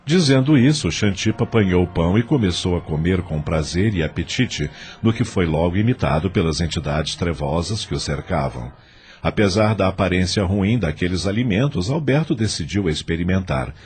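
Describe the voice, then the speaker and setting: Um homem com sotaque brasileiro narrando uma novela de áudio a partir de um texto. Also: male voice, low-pitched, expressive, normal speed, a little mellow. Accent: Brazilian. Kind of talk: scripted audio drama narration.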